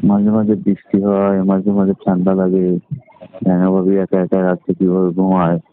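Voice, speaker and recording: Tinny phone-line Bangladeshi man, low-pitched. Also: monotone, normal speed. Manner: teasing, suggestive. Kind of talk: casual flirtatious phone chat. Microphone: phone call.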